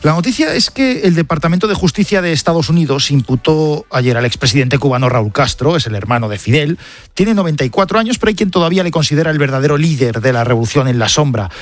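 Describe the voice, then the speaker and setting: A man's voice: a little high, expressive, fast, faint music behind. A man with an accent from Spain presenting a scripted press review on the radio, with conversational asides to a colleague. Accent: Spanish, from Spain.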